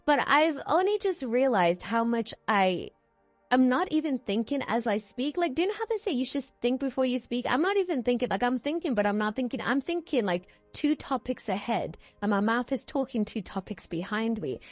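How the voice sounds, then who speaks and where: A woman's voice: a little high, animated, normal speed, no echo. A young woman giving a casual, rambling voiceover as a game builder, drifting off on tangents and using lots of fillers. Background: faint background music.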